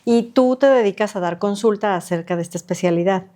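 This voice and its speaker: A woman's voice: medium pitch, brisk, dark tone. A woman hosting a podcast, asking questions and answering with brief affirming interjections. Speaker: podcast host.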